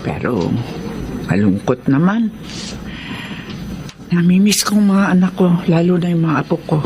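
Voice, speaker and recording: A woman's voice: on the low side, sing-song, a little slow, noisy background. A woman playing an elderly, fretful widowed mother in a Tagalog radio drama, with a plaintive, melodramatic delivery. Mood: wistful, resigned. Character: elderly widowed mother, emotional, fretful over her grown sons. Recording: loud music under the voice.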